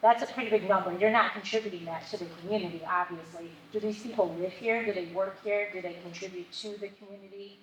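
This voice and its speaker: A woman's voice: mid-range voice, fairly even intonation, fast, few pauses. A woman at a public meeting asking pointed, persistent questions of a company representative. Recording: echoey meeting hall, faint noise.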